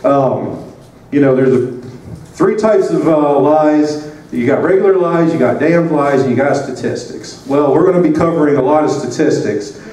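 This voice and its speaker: A man's voice: a little high, normal speed, warm tone, recorded from a distance. A man giving a conference presentation into a handheld microphone, talking loosely with lots of fillers and restarts. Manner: rambling, many fillers and restarts. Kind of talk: conference talk. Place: conference room. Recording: roomy sound from off mic with faint noise.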